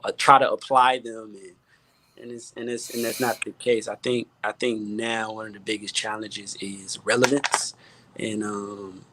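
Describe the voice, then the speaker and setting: Low-voiced American man, halting casual talk. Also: bright, forceful tone, dry recording, rough recording. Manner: halting, repeats words while emphasizing points. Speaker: music producer, guest.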